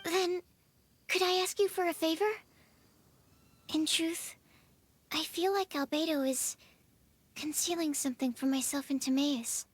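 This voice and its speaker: A woman's voice: high-pitched, steady tone, a little slow, long pauses, no echo. An actress playing an inquisitive young female alchemist in a video game, earnest, thoughtful and polite. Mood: confiding. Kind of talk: video game cutscene acting.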